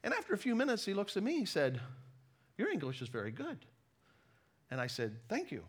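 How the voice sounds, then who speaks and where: A middle-aged American man giving a sermon with personal stories, conversational but emphatic, pausing deliberately between phrases. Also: sits high, wildly swooping pitch. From United States. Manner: conversational, emphatic with deliberate pauses, self-deprecating. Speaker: preacher, former missionary.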